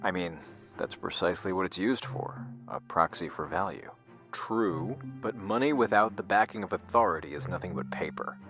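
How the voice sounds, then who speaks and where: A man playing a grave scientist in scripted audio drama dialogue, speaking deliberately with hesitant pauses. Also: deep voice, expressive, a bit bright. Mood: explaining. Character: grave, secretive male scientist and his questioning double. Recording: music under the voice. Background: music.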